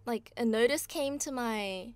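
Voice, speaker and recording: A woman's voice: a little high, unhurried, darkish tone, clear, resonant tone, dry recording. A young woman who grew up in Australia, a voice actor talking as a guest in a friendly, chatty way. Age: young adult. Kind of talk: casual interview chat.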